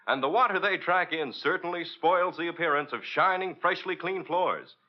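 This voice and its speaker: A man's voice: a little high, normal speed. A man announcing in a polished, theatrical 1940s radio style, as if reading sponsor copy. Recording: dull old radio recording.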